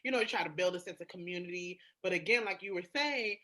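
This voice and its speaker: A woman's voice: fairly low pitch, fast, pushed and bright. A woman co-hosting a podcast in candid, casual chat, speaking emphatically and repeating phrases to drive a point home. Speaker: podcast co-host.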